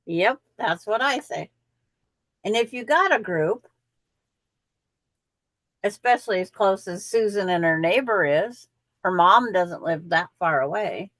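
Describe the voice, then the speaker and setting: A woman's voice: mid-range voice, lively intonation, measured pace, long pauses, fairly bright tone, no room sound. A woman hosting a quilting show, chatting in a rambling way and explaining how the block pieces fit together. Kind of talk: casual live chat and craft instruction.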